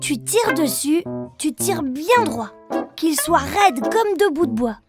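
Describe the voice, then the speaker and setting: A very high, childlike voice for a proud little boy bear cub in a children's cartoon. He talks in simple, eager phrases at an unhurried pace, like a small child explaining step by step. Children's music plays clearly behind him. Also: very animated, heavily acted. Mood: chatty, instructive. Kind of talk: children's cartoon, instructive nursery narration.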